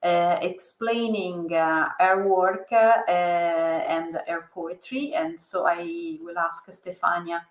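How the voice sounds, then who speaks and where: Halting woman host in an echoey hall. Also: on the low side. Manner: halting, with frequent fillers and restarts. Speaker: event host and interviewer. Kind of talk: live public talk. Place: museum hall.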